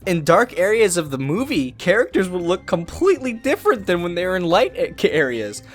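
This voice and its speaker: A man's voice: high voice, very animated, normal speed, faint music behind. A young man narrating a mocking comedic review of a bad movie. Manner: sarcastic, mocking. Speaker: video reviewer.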